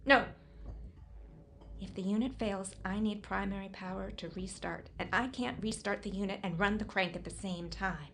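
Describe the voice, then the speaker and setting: A woman playing an anxious, methodical survivor in a bunker for an audio drama, with a deliberate way of speaking. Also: medium pitch, a bit bright, a little hiss or hum, lightly acted. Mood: tense.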